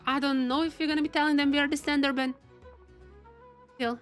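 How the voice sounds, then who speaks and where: A high-pitched female streamer chats casually through a game with clear music playing behind her. She is excitable and exclamatory, voicing her thoughts as they come. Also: brisk. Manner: excitable, exclamatory, thinking aloud. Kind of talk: live gameplay commentary, casual chat.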